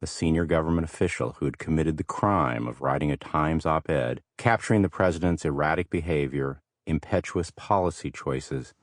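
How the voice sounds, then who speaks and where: A man reading scripted audiobook narration in a measured, articulate way, close to a studio microphone. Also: rumbling low, no echo.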